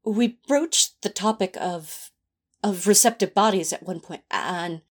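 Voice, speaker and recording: A woman's voice: medium pitch, a little slow, lightly acted. A woman playing a prim, tender male angel in a fan audio drama, speaking in a fussy, formal and earnest way. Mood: flustered.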